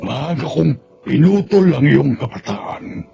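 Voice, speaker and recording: An actor with a Filipino accent playing a gentle, remorseful old grandfather in a radio drama, speaking earnestly and haltingly with a gravelly voice. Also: fairly high pitch, expressive, highs cut off, faint music behind, heavily acted.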